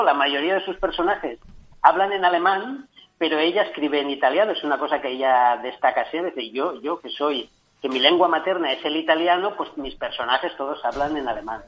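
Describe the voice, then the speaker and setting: Man, book reviewer, eager, restarts often, dull radio sound. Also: on the high side. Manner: enthusiastic, frequent restarts. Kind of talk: casual radio book chat.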